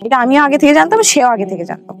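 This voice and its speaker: A woman's voice: high-pitched, very animated, quick pace, soft background music. A young adult woman with a Bangladeshi accent, a guest recounting her own life in a conversational way. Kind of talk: conversational personal storytelling.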